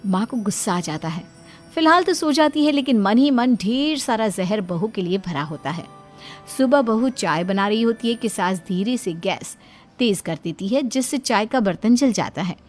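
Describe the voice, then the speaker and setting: Animated woman narrating a story. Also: medium pitch, moderate pace. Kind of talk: scripted dramatic storytelling. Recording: music under the voice.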